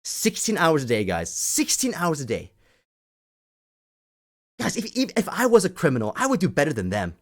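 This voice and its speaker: Animated man on an exasperated rant. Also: fairly high pitch, moderate pace, pauses often. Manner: emphatic, repeats phrases for effect. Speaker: crypto community host. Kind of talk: impassioned off-the-cuff rant to an online audience.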